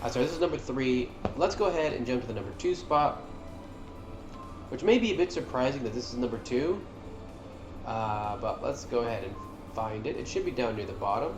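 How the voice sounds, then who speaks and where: Man, mid-pitched voice, casual fan commentary, informal and enthusiastic, roomy echo, music playing behind. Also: animated, a little fast. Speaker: video creator presenting a favorites list. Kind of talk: casual reaction commentary over game cutscenes. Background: game cutscene music.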